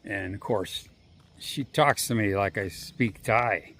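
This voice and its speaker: Slow, gravelly man on a walk. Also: fairly low pitch, fairly bright tone. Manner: rambling, profane, drawn-out pauses. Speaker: vlogger. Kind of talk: casual walking monologue. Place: outdoors on a walk. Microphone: handheld phone.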